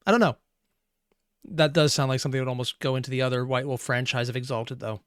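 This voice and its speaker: A man giving rambling, profane reaction commentary on tabletop lore, going a bit hoarse as he loses his voice. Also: mid-range voice, fast talker, no echo. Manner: rambling, profane, animated asides. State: losing his voice. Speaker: reaction streamer.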